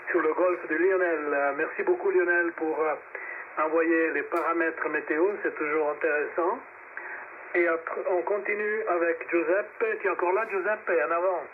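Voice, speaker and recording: A man hosting an amateur radio net, speaking casually and courteously as he hands over between operators. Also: high voice. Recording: noisy narrowband shortwave radio link.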